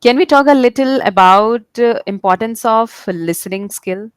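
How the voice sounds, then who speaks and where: A woman's voice: fairly high pitch, moderate pace, clean tone, no echo, clean studio sound. A woman hosting a casual interview who listens attentively, asks questions, and backs the speaker up with frequent "mm-hmm"s. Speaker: interviewer, host of the show.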